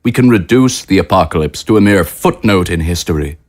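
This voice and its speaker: An actor playing a grandiose, smoothly menacing government official in an audio drama, with formal, oratorical delivery. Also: male voice, low-pitched, lively intonation, brisk, darkish tone. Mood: grandly fervent. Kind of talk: scripted audio drama acting.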